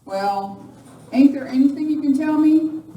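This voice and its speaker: A woman's voice: high-pitched, a little slow, muffled recording. A woman playing a feisty, impatient rancher in a live amateur Western radio play, blunt and folksy. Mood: impatient, exasperated. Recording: distant, echoey live room recording, faint noise.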